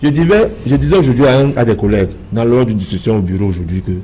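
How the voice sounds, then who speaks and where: Husky Cameroonian man arguing insistently. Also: mid-range voice, rapid-fire, dark tone. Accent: Cameroonian French. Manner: insistent, emphatic, repeats phrases for effect. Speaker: political party member as debate panelist. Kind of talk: impassioned televised political debate.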